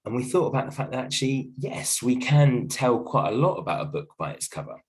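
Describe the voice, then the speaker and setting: A male teacher with a croaky voice from illness, giving a gentle, reassuring video lesson from home with many ums and restarts. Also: medium pitch, sing-song, a little fast. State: croaky voice from a cold, coughing. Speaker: primary school teacher. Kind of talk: recorded video lesson for a class.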